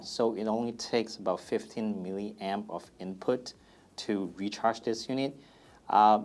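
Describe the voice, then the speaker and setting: Low-pitched man explaining a product. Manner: plain, step-by-step explaining. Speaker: company product presenter. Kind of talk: casual product FAQ demonstration.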